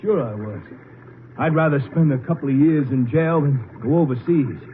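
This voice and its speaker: Cornered, whiny deserter played by an actor, rather high-voiced. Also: male voice, moderate pace, close to an everyday voice. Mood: defiant, sulky. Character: cornered, cowardly male deserter and car thief. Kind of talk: 1950s radio drama acting. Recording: noisy, narrow-band old radio recording.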